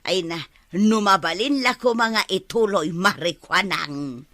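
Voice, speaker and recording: A woman's voice: mid-range voice, unhurried, a bit bright, no room sound, lightly acted. An actress playing a giggly, easily persuaded village woman in a radio comedy drama. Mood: amused, wavering. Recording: prominent music behind the voice.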